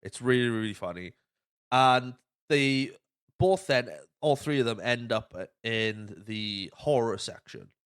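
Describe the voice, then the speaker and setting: A man hosting a casual film podcast, retelling plots in an animated, sweary way. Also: mid-range voice, slow pace, clean tone. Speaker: podcast host.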